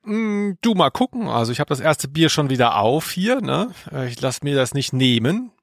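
A man's voice: fairly high pitch, big pitch swings, normal speed, creaky, no room sound. A man co-hosting a podcast, chatting casually with offhand, dry humour. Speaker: podcast co-host. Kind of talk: casual chat.

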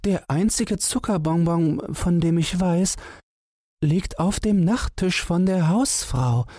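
A man's voice: sits high, takes it slowly, warm tone, dry recording, clean studio sound, pitched above the performer's own voice. A man voicing a timid little female mouse who pleads and begs in a storybook reading. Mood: pleading. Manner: pleading, plaintive.

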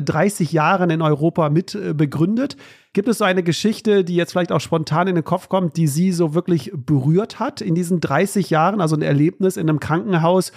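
A man's voice: on the high side, darkish tone. A man from Germany hosting a health podcast. He reads a scripted intro and then asks his guest friendly questions, with many "äh" fillers. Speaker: podcast host.

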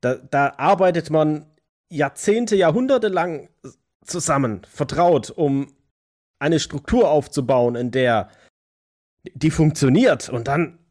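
A man playing an embittered, agitated vampire in an audio drama, emotional and sometimes halting. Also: a little high, lively intonation, a little mellow. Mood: agitated, hurt. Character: embittered, agitated male vampire hunting anarchs. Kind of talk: German audio drama role-play acting.

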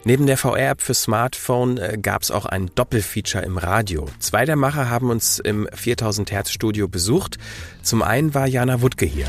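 A man's voice: medium pitch, expressive, normal speed, soft background music. A man presenting and interviewing as a podcast host.

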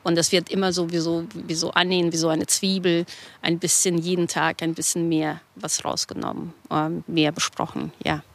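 A middle-aged woman from Kazakhstan reflecting on herself in an interview, halting as she searches for words. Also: lowish voice, flat delivery, vocal fry, dry recording. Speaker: interview guest. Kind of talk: unscripted personal reflection.

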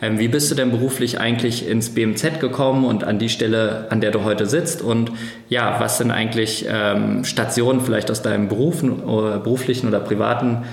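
Male podcast host, low monotone gravelly voice, rambling questions, echoey room. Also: brisk. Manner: long rambling questions with fillers. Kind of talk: interview hosting.

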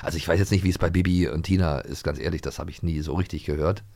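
A man's voice: sits low, fairly even intonation, fast, vocal fry, darkish tone. A man chatting casually and playfully as a podcast host. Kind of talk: casual chat.

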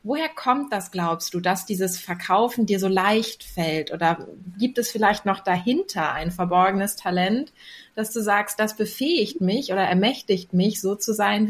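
A woman co-hosts a podcast in a casual, conversational tone at a middle pitch. She asks long, reflective questions and speaks in an easy, thoughtful way. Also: a little slow. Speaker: podcast co-host.

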